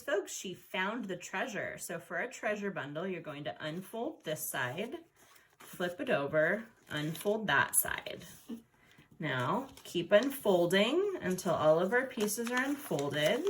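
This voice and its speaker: A woman's voice: mid-range voice, a bit bright, a little hiss or hum. A woman giving step-by-step craft instructions for children in a playful, informal way. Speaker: origami instructor.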